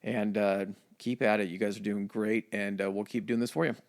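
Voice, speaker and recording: A man's voice: fairly low pitch, level tone, brisk, a little mellow. Male podcast co-host, casual banter, upbeat and jokey. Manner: upbeat, addresses listeners directly, jokey asides.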